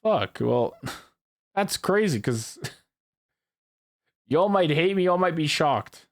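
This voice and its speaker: A man's voice: on the high side, lively intonation, drawn-out words. A man in his early twenties hosting a casual chat with friends, rambling, repeating himself and swearing freely. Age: early 20s. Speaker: podcast host.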